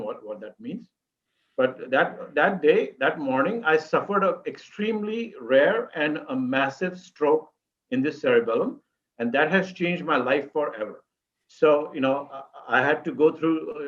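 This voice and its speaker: Halting Indian man on dull lo-fi audio. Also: medium pitch. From Mumbai, India. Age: 50s. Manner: halting, repetitive phrasing with frequent you-knows. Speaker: interview guest. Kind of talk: recounting personal life story in interview. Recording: dull lo-fi call audio, music underneath.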